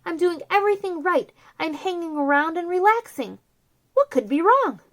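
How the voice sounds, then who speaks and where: A woman's voice: very high-pitched, expressive, moderate pace. A woman voicing a proud, eager male sun from a children's storybook in a grand, exclamatory manner. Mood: puzzled.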